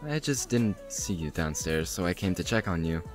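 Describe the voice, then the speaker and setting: A man playing a young male vampire, hot-tempered yet tender, in an animated roleplay drama. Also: sits low, normal speed, soft background music. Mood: gentle, concerned.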